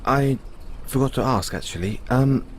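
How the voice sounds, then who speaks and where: A British man playing a kindly, chatty owner of a rescued android in an audio roleplay, speaking gently and hesitantly to the listener with small stammers. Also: mid-range voice, normal speed, a little hiss or hum, natural voice. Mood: friendly, cheerful.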